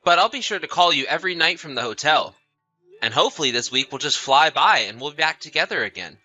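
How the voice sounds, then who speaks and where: Man acting as an anxious, bright-voiced husband. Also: on the high side, animated, fast, soft background music, heavily acted, rough recording. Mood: apologetic, friendly. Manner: apologetic, questioning. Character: anxious, trusting husband often away on business. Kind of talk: scripted dialogue in an audio drama story.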